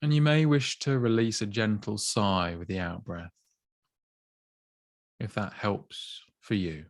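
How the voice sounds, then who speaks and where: A man with a low, calm voice guides a mindfulness body scan. He speaks slowly and gently, leaving long pauses between phrases. The recording is dry and close, with no room sound. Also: no echo. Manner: slow, gentle, soothing guidance with long pauses. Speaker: mindfulness teacher.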